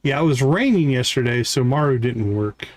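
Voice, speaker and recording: A man's voice: mid-range voice, lively intonation, moderate pace, no room sound. A man giving casual live gameplay commentary as a streamer, thinking aloud to himself in short fragments. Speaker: game streamer.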